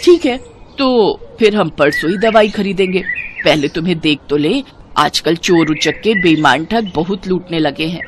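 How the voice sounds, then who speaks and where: Lady doing a nosy rich village auntie in a kids story, chatty, lively up n down voice, bit of noise. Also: fairly low pitch, moderate pace, lightly acted. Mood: wary. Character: curious, wary rich village housewife.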